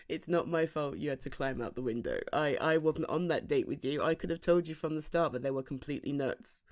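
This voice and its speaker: A woman's voice: low-pitched, moderate pace, natural voice. A British woman playing a playful, chatty female best friend in a humorous, conversational ASMR roleplay. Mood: teasing, mock-indignant. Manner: animated, conversational, teasing, with laughs and sighs.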